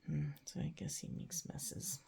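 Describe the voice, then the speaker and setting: A woman reads a children's picture book aloud, pausing to explain simply and put questions to her young listeners. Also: sits low, wildly swooping pitch, vocal fry, background noise. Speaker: read-aloud storyteller for children. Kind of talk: picture book read-aloud with chatty asides.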